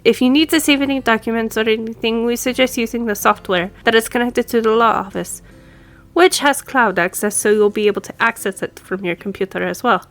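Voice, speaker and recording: A woman's voice: on the high side, a little fast, faint music behind, natural voice. A woman playing a friendly, businesslike law firm boss in an audio roleplay, speaking conversationally to the listener. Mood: friendly, lightly teasing. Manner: businesslike, conversational, lightly teasing. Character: brisk, friendly female law firm boss giving instructions.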